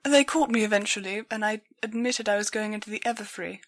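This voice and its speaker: A woman's voice: mid-range voice, brisk, close to an everyday voice. A woman voicing a hurt female pony, insistent and strained as she confronts an old friend in a story. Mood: remembering.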